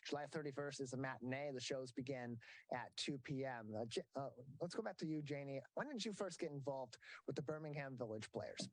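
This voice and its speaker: A man's voice: medium pitch, fairly quick, no room sound, rough recording. Dry-sounding male TV interview host. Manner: fillers and repeated restarts. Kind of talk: local TV interview.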